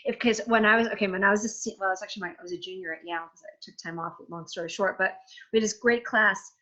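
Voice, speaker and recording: A woman in her forties hosting a casual conversation, talking in an animated, frank way, swearing freely and often restarting her sentences. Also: mid-range voice, fast, highs cut off. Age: 40s. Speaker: host.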